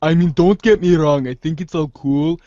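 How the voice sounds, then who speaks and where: A man's voice: on the high side, moderate pace, dark tone, dry recording, muffled recording, close to an everyday voice. A man playing a too-cool, rambling teenage slacker who is uneasy underneath, casual and sweary, in a fan theater reading. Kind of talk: read-along fan theater.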